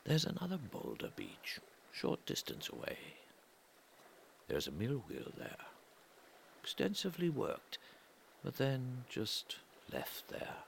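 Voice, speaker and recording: A man reading an atmospheric literary story in a measured way, pausing between short phrases, with a gravelly voice that often turns breathy and trails off. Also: mid-range voice, huge pitch swings, slight background noise. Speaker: reader of a literary audio story. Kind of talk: slow atmospheric literary narration.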